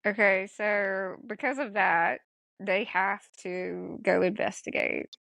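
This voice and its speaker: A woman's voice: mid-range voice, steady tone, slow pace, vocal fry, a bit bright, dry recording. A woman hosting a podcast, chatting casually in a blunt, sardonic manner. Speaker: podcast host. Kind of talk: casual chat.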